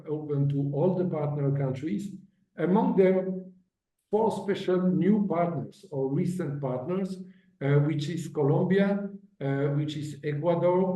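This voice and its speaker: A man delivers formal remarks at a virtual conference, pausing often and restarting his phrases. His voice is very dark and mellow. It comes through dull and roomy, as if picked up from a distance. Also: mid-range voice. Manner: halting, many fillers and restarts. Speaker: agency director giving a presentation.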